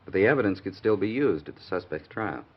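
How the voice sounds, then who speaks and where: Deep, measured 1950s radio sergeant. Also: male voice, animated. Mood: explaining. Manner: measured, questioning. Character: calm, probing male police sergeant. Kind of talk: 1950s radio police drama. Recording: dull old radio recording.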